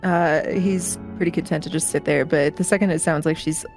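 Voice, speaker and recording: A woman's voice: low-pitched, steady tone, a little fast, a little mellow, soft background music. A woman playing a tabletop roleplaying game, talking casually in a wry, joking way. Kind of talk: casual banter.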